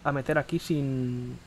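A man from Spain chatting while he plays, rambling and crude, keeps clearing his throat, speaking into a headset mic. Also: mid-range voice, a little mellow, slight background noise. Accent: Spain Spanish. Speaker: gaming streamer. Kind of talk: casual gameplay chat. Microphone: headset mic close to the face.

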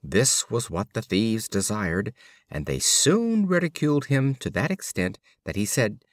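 A man with a fairly low voice reads storybook fables in a lively, swooping intonation. His delivery is deliberate and expressive, like a practised audiobook storyteller, and the sound is close and dry. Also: dry recording. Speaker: audiobook reader. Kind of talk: scripted storybook narration of fables.

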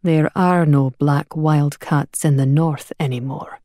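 A woman as a quiet, deadpan man. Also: no room sound, studio quality. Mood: flat, firm. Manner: low, slow, deadpan. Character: quiet, flat, unruffled man guarding his charges. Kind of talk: audiobook character voice.

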